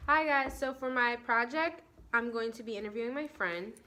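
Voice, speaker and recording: A woman runs a class interview with her friend. Her voice sits on the high side. She reads her questions plainly, as if from a script. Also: normal speed, sharp tone, slight background noise. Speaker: student interviewer.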